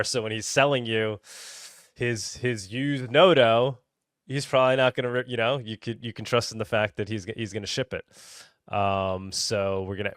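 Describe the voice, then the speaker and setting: Male developer, casual podcast explainer, lowish resonant voice, dry sound, keeps seeking agreement. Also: a bit bright, no room sound. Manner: explanatory, often checking with "right?". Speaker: marketplace developer explaining features.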